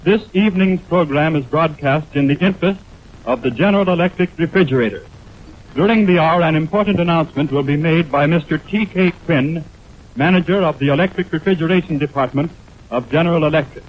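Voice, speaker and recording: A man announcing formally from a script on 1920s radio, in a measured, declamatory manner, on an old band-limited recording. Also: on the high side. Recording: tinny, band-limited 1929 radio aircheck.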